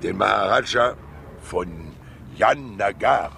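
An actor playing a fat, wily, talkative older state councillor in a 1960s radio crime drama, jovial and theatrical, rambling with asides and pauses. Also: male voice, on the low side, gravelly, pushed and bright, heavily acted. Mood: confiding, sly. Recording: hissy 1960s radio recording.

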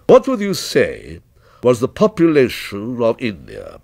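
A male narrator puts on a shrewd shopkeeper from a storybook, selling with courteous, flattering patience. The pitch swings widely, and the words come through husky-free, crisp and close. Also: no room sound, full character voice. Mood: soft, reverent. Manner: businesslike, flattering, deliberate salesmanship. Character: shrewd, courteous male shop proprietor pressing a sale.

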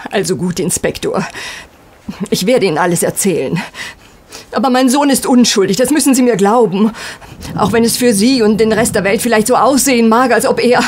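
A woman's voice: fairly high pitch, a little hiss or hum, close to an everyday voice. An actress playing a proper, protective middle-aged landlady in a radio play, speaking earnestly. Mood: distraught, pleading. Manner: earnest, proper, narrating in detail. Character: proper, protective middle-aged mother running a boarding house. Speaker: actor in an audio drama. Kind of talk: German radio play dialogue.

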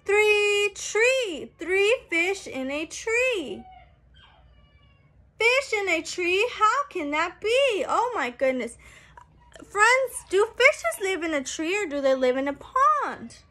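A young woman, a preschool teacher, reading a picture book aloud to small children in an animated, sing-song, exaggerated way. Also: extremely high pitch, slow pace. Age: young adult. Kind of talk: read-aloud story time for small children. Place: small room at home, indoors. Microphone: phone at arm's length.

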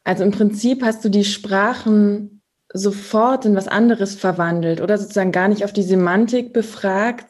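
A woman's voice: mid-range voice, a little mellow. A woman moderating a video-call panel, asking long, probing questions of the guests.

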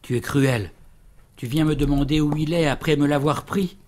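A bitter older father in mourning, played by a man, speaks in an audio drama. He is gruff, reproachful and defiant, with faint noise behind him. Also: on the high side, steady tone, moderate pace, close to an everyday voice.

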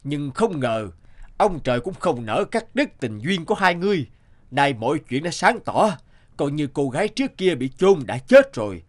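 A man's voice: a little high, big pitch swings, normal speed. A man playing a stern, commanding judge in a cartoon fairy tale, speaking in an authoritative, declamatory way. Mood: solemn, ruling. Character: stern commanding male judge passing sentence.

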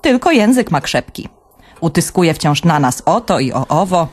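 An actress playing a wry younger sister who narrates a radio play. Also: female voice, lowish voice, very animated, normal speed. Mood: wry, confiding. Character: wry, girlish younger sister telling her story.

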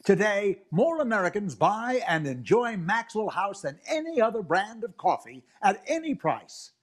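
An older man playing an enthusiastic coffee pitchman on a 1940s radio show. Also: very animated, moderate pace. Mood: selling.

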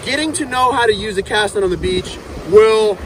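A man's voice: sits high, moderate pace. A man in his thirties hosting a fishing channel, upbeat, demonstrating a cast net outdoors on a sandy beach and calling the viewers "guys". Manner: upbeat, repeats "guys" and "okay", talks while handling the net. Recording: outdoor beach noise behind the voice.

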